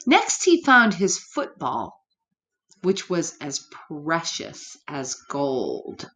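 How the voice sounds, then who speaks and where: A woman reads a picture book aloud to children. She takes her time, with an animated, varied pitch, and talks directly to her young listeners. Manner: pauses to address young listeners. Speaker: storytime reader.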